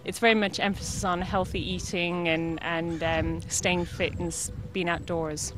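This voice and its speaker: A woman's voice: on the low side, little pitch movement, normal speed, poor audio. A woman giving casual, unscripted interview answers in a tentative, self-qualifying way. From Ireland or UK.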